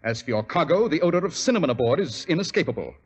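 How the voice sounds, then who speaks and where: An actor playing a cool, superior English gentleman detective with a British accent and crisp, precise manner in a 1940s radio drama. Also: on the high side, big pitch swings, quick pace. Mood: incisive. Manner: crisp, precise, lecturing, unruffled. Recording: old radio recording with faint hiss.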